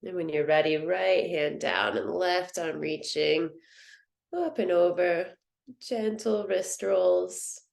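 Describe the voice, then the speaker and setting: A woman teaching a guided meditation in a soothing, gentle, unhurried manner. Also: fairly low pitch, expressive, gravelly. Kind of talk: guided yoga nidra meditation.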